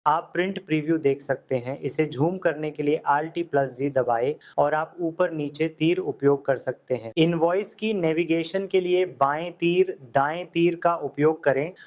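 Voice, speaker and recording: A man with a somewhat high voice walks the viewer through accounting software one step at a time. He often asks what comes next and then answers it himself, like a tutor talking over a screen recording. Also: unhurried, few pauses, muffled recording. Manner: step-by-step instructions with questions to the viewer.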